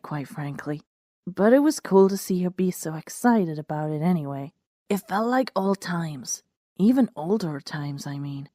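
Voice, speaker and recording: A woman's voice: lowish voice, mellow, no echo, studio quality. A woman reading scripted stories aloud as a narrator and host. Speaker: host and narrator of a fan fiction reading show.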